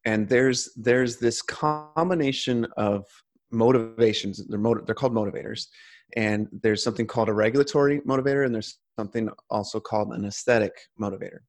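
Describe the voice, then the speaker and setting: A man's voice: medium pitch, fairly even intonation, brisk. A man in casual conversation, talking earnestly with frequent restarts.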